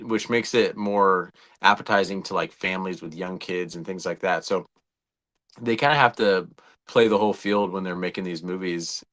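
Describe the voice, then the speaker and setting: This is an American man with a somewhat low-pitched voice. He talks as a co-host in casual discussion, laying out his opinions conversationally and with emphasis. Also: fairly bright tone. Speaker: show co-host.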